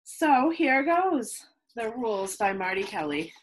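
A woman in her thirties reading a children's picture book aloud in slow, sing-song rhymes, recorded on a webcam at home. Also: fairly high pitch, very animated, a little hiss or hum. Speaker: children's read-aloud host. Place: home room with plants, indoors.

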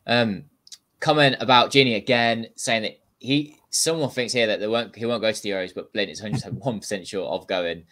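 Chatty young man with a lower voice. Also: normal speed, fairly bright tone. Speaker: co-host reading viewer comments. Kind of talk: casual football chat on a video call. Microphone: video call.

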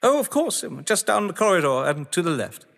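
An actor playing a proud, excitable mystery writer in an audio drama, theatrical and indignant in manner. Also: male voice, high voice, fairly quick, gravelly, exaggerated voice. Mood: admiring, jovial. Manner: theatrical, indignant, well-spoken. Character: proud, excitable English mystery writer, gamesman under suspicion. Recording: long hall-like reverb.

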